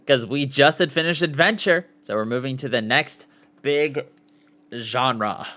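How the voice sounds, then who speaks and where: A man's voice: medium pitch, takes it slowly, bright, forceful tone. A man hosting a casual live podcast, reading aloud and sometimes stumbling over the words. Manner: stumbles over foreign names, sounding them out. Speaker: podcast host.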